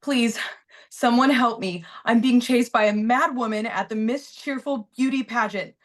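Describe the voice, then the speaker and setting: Woman acting a terrified, high-voiced young beauty queen. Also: unhurried, close to an everyday voice. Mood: desperate pleading. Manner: frantic, pleading. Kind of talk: horror script table read.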